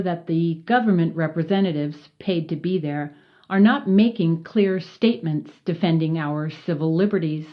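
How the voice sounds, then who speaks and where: A woman reading a written report aloud in a formal, measured way, spelling out acronyms letter by letter. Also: lowish voice, highs cut off. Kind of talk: scripted narration read from a report.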